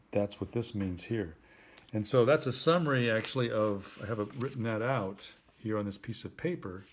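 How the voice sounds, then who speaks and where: A man giving a simple tutorial in a plain, deliberate, instructive way, like someone patiently walking a learner through each step. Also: on the low side. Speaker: instructor helping a phone user.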